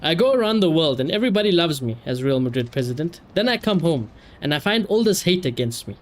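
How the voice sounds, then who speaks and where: A man giving casual livestream commentary in an animated, slangy, sweary way. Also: fairly high pitch, fast talker. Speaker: live streamer.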